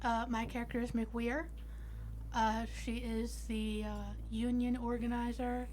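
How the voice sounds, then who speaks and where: Woman, highish pitch, casual game chat, sweary, conversational. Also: level tone, a little slow, darkish tone, slight background noise. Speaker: tabletop game player.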